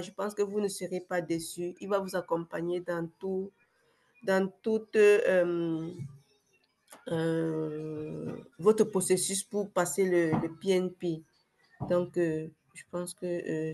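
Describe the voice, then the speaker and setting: A woman's voice: fairly low pitch, a little mellow. A young adult woman with a cold, speaking hesitantly as a guest on a video call webinar, with many fillers and restarts. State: sick with a cold, voice affected. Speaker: webinar guest, former client. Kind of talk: casual testimonial on a video call.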